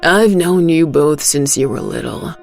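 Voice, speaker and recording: A woman performs a big, grave male sheriff in an audio drama. The delivery is low, creaky and measured, serious throughout, with faint music behind it. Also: animated, a little mellow, heavily acted. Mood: weary, probing. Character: Luke, large, grave small-town male sheriff.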